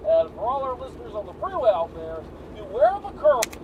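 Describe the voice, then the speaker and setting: An actor playing a zany, upbeat old-time radio DJ, heard through a car radio. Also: male voice, high voice, sing-song, brisk. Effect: car radio filter. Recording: muffled car radio, faint engine noise.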